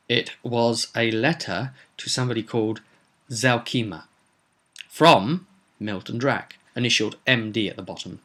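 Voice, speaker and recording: A man casually recounting a tabletop roleplay session as game master, in halting, informal storytelling. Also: mid-range voice, fairly bright tone. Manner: halting, informal storytelling, voices characters' dialogue in passing.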